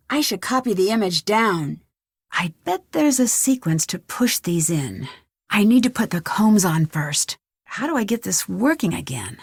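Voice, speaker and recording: A woman's voice: mid-range voice, husky, dry recording, studio quality, exaggerated voice. A young woman playing a curious, plucky amateur detective in an adventure video game, thinking aloud to herself. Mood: musing, curious. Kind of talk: scripted adventure game voice lines.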